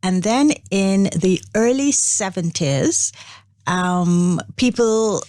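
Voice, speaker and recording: An older woman from Guyana, a spiritual organization's representative, telling her story in a measured, unhurried interview. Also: mid-range voice, animated, darkish tone, dry recording. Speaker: interview guest, Brahma Kumaris representative. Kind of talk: reflective interview talk.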